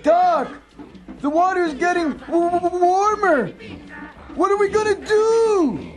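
A man voicing a tiny frantic sidekick in a children's audio adventure, squeaky, whiny and stammering. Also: animated, takes it slowly, faint music behind, full character voice.

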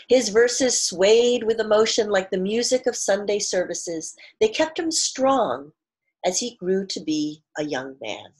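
Husky, slow-paced woman reading aloud. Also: mid-range voice. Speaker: teacher reading a picture book aloud. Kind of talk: expressive storybook read-aloud with teaching asides. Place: small living room at home, indoors.

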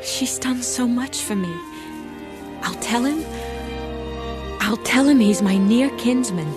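An actress playing a shy, humble young peasant widow in an animated Bible story, speaking hesitantly. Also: female voice, on the high side. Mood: wistful, self-doubting. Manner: hesitant, halting. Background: music.

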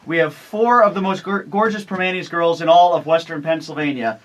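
A man's voice: on the high side, a little fast, a bit bright, dull audio. A man hosting a radio morning show from a studio, joking with guests and running a quiz. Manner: joking, quizmaster tone. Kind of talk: lively radio banter. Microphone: boom studio mic.

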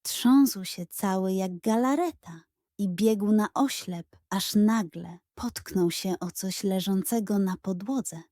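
A woman storyteller reading a scripted children's bedtime story in an expressive, dramatic and suspenseful manner. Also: mid-range voice, takes it slowly, no room sound, studio quality.

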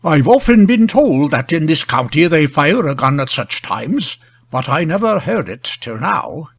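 A man voicing a quiet, deliberate fellow by the fireside in a storybook reading, slow and measured in manner. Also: a little high, very animated, dry recording, clean studio sound, full character voice.

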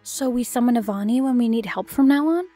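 A woman's voice: fairly high pitch, a little fast, mellow, faint music behind, close to an everyday voice. A woman playing an anxious, skeptical part-elf messenger in a fantasy audio drama. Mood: curious, polite.

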